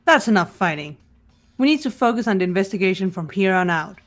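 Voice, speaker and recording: A woman voicing a calm, serious, level-headed young investigator in a fan-voiced visual novel game. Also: medium pitch, brisk, soft background music, close to an everyday voice.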